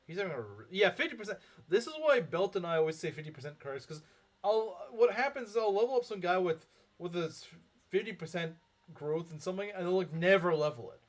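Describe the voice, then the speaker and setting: A man's voice: sits high, expressive, brisk. A young man streaming a game, chatting casually with viewers and rambling through his thoughts with lots of filler words and frequent chuckles. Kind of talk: casual live-stream commentary reading chat.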